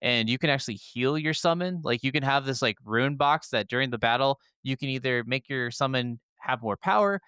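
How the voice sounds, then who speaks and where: A man's voice: medium pitch, brisk, hardly any pauses, pushed and bright, clear, resonant tone, dry recording. Clean, dry-sounding man chatting about games. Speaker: gaming podcast co-host. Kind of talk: casual, opinionated gaming chat.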